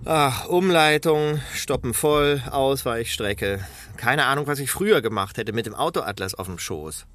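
An actor playing a harried, controlling middle-aged manager in a naturalistic radio drama, brisk and insistent while driving. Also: male voice, mid-range voice, animated, fairly bright tone. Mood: evasive, harried. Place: inside a moving car.

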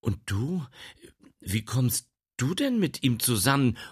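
A man voicing a calm, secretive young man. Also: mid-range voice, slow, husky, voice pushed up, full character voice.

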